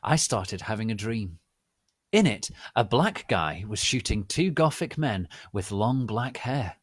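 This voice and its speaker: A man narrating a comic story in a theatrical, deliberate, dramatic reading style. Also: on the low side, very animated, slightly rough, no echo, studio quality.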